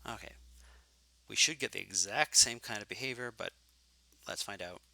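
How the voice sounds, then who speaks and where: A man walks through code in a live lecture, talking his way through each step and catching his own slips. His voice is low, with a gravelly fry and a sharp, tense brightness, and it sounds worn and hoarse. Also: moderate pace, dry recording. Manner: thinking aloud, self-correcting. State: getting hoarse. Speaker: programming lecturer.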